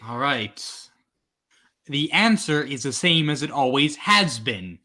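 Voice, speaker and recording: An irritable radio performer in a vintage-style radio play , acted by a man. His voice swings widely in pitch. He sounds brusque and easily exasperated. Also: animated, takes it slowly, bright, forceful tone. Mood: rehearsing. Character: irritable male radio actor, Ed Henley. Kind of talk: old-time radio drama acting.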